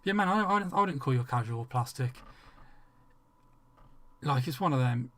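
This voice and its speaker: A man's voice: mid-range voice, animated, normal speed, fairly bright tone. Rambling, swearing English streamer man. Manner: rambling, swearing freely, frequent restarts. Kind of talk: casual livestream chat with viewers.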